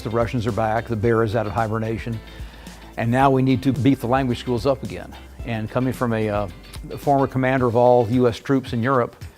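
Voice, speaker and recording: A man's voice: medium pitch, darkish tone. Male instructor, hesitant interview talk, music bed. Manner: hesitant, many fillers. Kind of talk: interview soundbite.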